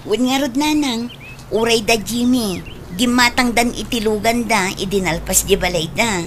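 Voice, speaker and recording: A woman acting an earnest Ilocano daughter, highish voice. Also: normal speed, a little hiss or hum, close to an everyday voice. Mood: grateful. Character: grateful, respectful young married daughter. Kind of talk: radio drama acting.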